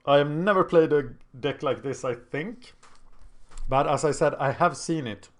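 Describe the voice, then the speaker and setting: A man's voice: a little high, a little slow. A man with a Swedish accent, a native Swedish speaker, thinking aloud and chatting as he commentates his own card game.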